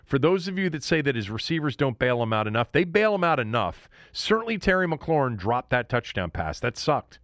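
A man's voice: medium pitch, big pitch swings, brisk, hardly any pauses, a bit bright, no room sound, studio quality. An American man hosting a sports talk show, giving an opinionated football recap in a blunt, emphatic manner.

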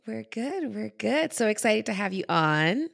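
A woman's voice: mid-range voice, very animated, a little slow, gravelly. A woman hosting a podcast in a casual, chatty interview style, with an upbeat, informal and encouraging manner. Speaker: podcast host and wedding planner.

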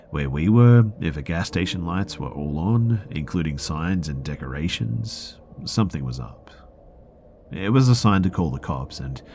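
Man with a super deep voice reading spooky true stories, kinda hushed, faint hum behind. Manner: hushed, slipping into whispers at tense moments. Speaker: podcast narrator. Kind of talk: first-person scary story narration.

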